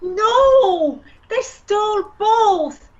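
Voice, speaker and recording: A woman with an Irish accent playing an irate, sarcastic, sharp-tongued racehorse owner in an amateur radio play. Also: very high-pitched, lively intonation, very slow, muffled recording, heavily acted. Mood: indignant. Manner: indignant, sarcastic, scornful.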